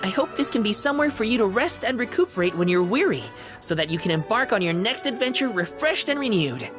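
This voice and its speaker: Game casting: animated, theatrical stuffed-toy concierge played by a woman, impeccably polite, music behind. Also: on the high side, fast talker, lightly acted. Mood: delighted, attentive. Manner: courteous, theatrical, effusive. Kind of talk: scripted video game character acting.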